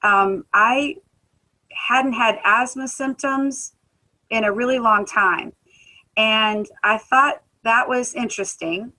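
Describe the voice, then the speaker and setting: A woman who sings in a band, giving a conversational personal testimony at a wellness talk, earnest, with many filler pauses. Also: on the high side, sharp tone, low-quality recording. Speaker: guest speaker, musician.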